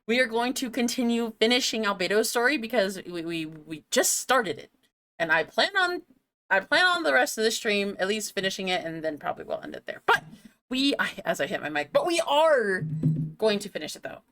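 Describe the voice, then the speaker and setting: A woman's voice: medium pitch, very animated, fairly quick, fairly bright tone. A woman streaming a video game with casual live commentary, thinking aloud and repeating her phrases as she rambles. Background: game music.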